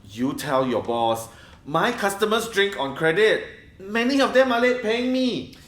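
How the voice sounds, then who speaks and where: A man's voice: high-pitched, expressive, unhurried, fairly bright tone, reverberant. Man playing a harried, swooping Singaporean nightclub boss. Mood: bossy. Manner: grumbling, sarcastic. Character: harried, cutting male nightclub boss short of money. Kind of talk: radio play acting.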